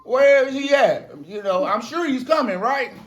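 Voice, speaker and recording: A man's voice: high-pitched, moderate pace, a bit bright, echoey room, muffled recording, pitched above the performer's own voice. A man with an American accent voicing a cocky prophet who taunts his rivals with mocking questions in a live Bible story. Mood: mocking, gleeful.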